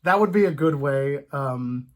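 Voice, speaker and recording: A man chatting casually about films as a host, in an animated, rambling fan's way. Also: mid-range voice, unhurried.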